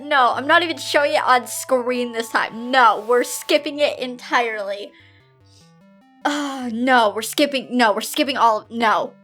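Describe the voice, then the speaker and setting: A woman with a very high, bright voice hosts a comedic reading show. She is theatrical and expressive, with an exasperated way of talking. Faint music plays behind her. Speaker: host of a comedic fan fiction reading show. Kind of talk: dramatic reading aloud with exasperated asides. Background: background music.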